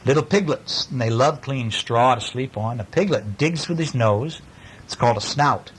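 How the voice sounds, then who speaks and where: Male, father chatting through a picture book with small kids. Coaxing, question-led, medium pitch, faint noise and clicks behind. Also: normal speed. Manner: coaxing, praising and prompting with questions. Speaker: father reading to his young children. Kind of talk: casual picture-book chat with small children, asking questions. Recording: noisy home recording with clicks.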